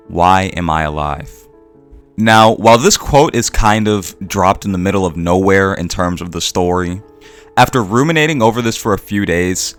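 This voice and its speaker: A man narrating a scripted video essay in a reflective, measured way with deliberate pauses. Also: low voice, fairly bright tone, clear, resonant tone. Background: background music.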